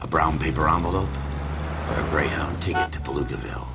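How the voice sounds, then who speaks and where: An actor playing a world-weary, cynical hard-boiled narrator in a crime noir video game, brooding and knowing. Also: male voice, very deep voice, quick pace, very noisy. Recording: loud music under the voice.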